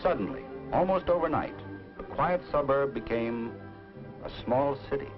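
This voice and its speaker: An American man reading scripted 1950s educational film narration in dramatic, measured short phrases. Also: mid-range voice, lively intonation, over music. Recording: dull vintage film soundtrack.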